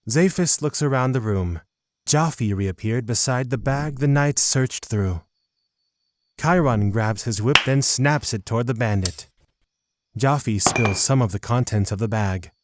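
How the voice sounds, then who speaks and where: A man's voice: medium pitch, a little slow, background noise. A man narrating a scripted fantasy audio drama.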